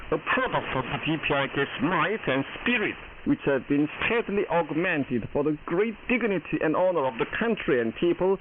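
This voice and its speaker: A man with a fairly high-set voice reads a formal propaganda newscast. His delivery is solemn, stiff and deliberate. It comes through a thin, narrowband shortwave radio signal with faint static. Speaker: news announcer. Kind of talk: formal scripted propaganda news reading.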